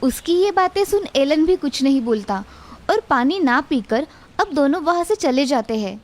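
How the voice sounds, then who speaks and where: A woman narrating an animated children's story in a steady, clear storytelling manner. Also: high voice, moderate pace.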